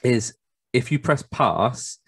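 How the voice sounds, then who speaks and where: A man's voice: medium pitch, animated, slow pace, creaky, fairly bright tone, husky, no echo, clean studio sound. A man chatting casually and informally as a podcast co-host. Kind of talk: casual chat.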